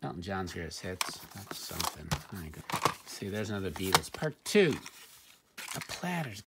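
A middle-aged man talks off the cuff in a low, gravelly voice, dropping dry, muttered asides as he sorts through items. It is a very noisy home recording, with clicks from things being handled. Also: very animated, normal speed. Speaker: reseller showing thrift finds. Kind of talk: casual off-the-cuff unboxing commentary. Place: indoor room at home, at a table.

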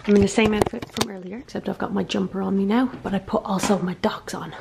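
A woman's voice: medium pitch, fast talker, vocal fry. A woman, chatting casually and emphatically to a handheld camera as a travel vlogger. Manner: expressive, emphatic, informal. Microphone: camera at arm's length. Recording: noisy handheld camera, street and room background.

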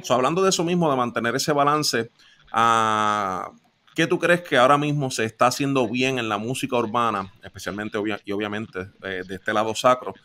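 A man's voice: mid-range voice, normal speed, sharp tone. A young man hosting an interview with upbeat, friendly, slang-laced talk. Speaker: host. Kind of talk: casual interview. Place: home studio with shelves.

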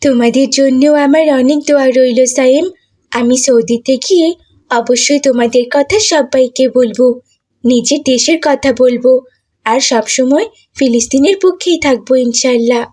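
Cartoon village girl, woman performer: caring, polite, high-pitched. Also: normal speed, darkish tone. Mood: earnest. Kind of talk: cartoon voice acting.

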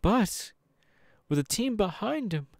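A man voicing a suave, falsely sympathetic demon in a theatrical ASMR roleplay, smug and genteel with drawn-out pauses. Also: high voice, big pitch swings, creaky, darkish tone, no room sound, studio quality, exaggerated voice. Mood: smooth, curious.

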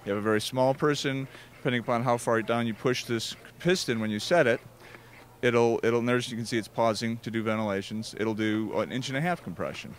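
A man's voice: medium pitch, brisk. Male product rep demonstrating over faint noise. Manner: explanatory, step-by-step instruction. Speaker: company product representative. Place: exhibition booth at an EMS trade show.

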